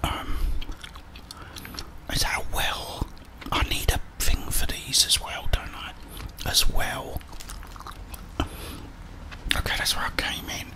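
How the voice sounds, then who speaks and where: Casting: man, 30s, constant breathy whisper, hushed muttered gameplay commentary for ASMR. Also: takes it slowly, pauses often, low-quality recording. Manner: hushed, muttering to himself with tag questions. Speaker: ASMR gaming streamer.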